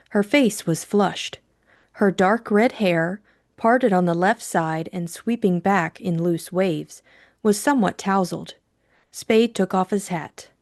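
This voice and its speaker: A woman's voice: on the low side, darkish tone, dry recording. Woman narrating a novel, dry studio sound. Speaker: audiobook narrator. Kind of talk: scripted dramatic narration of a novel.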